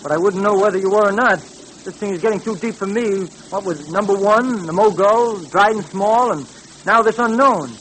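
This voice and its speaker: A good-natured, puzzled police sidekick from old radio drama. His voice is pitched high, with a hearty, bemused delivery. The recording carries slight noise. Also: moderate pace, fairly bright tone, poor audio. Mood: baffled. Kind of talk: 1940s radio acting.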